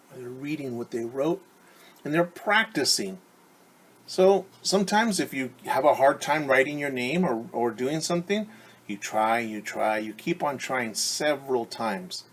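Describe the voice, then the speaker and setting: A man's voice: mid-range voice, slightly rough. A male preschool teacher reading a picture book aloud and explaining it in simple, instructive words for young children. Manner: simple, instructive phrasing addressed to small children.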